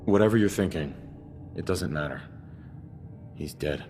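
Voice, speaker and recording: A man's voice: deep voice, a little slow, noisy background. A man acting a vengeful, grieving father in a video game, grim, bitter and terse.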